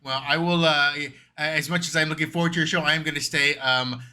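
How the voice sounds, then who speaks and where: A man's voice: a little high, fairly even intonation, fairly quick, pushed and bright, smooth, no echo. A man interviewing a guest in a playful, joking way. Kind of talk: casual interview.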